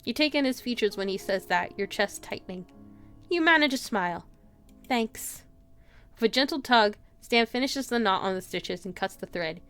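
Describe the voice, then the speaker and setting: A woman's voice: medium pitch, animated, fairly quick, fairly bright tone, clean tone, faint music behind. A woman livestreamer reading a visual novel aloud with playful, casual commentary. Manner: playful, giggly asides. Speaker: game livestreamer.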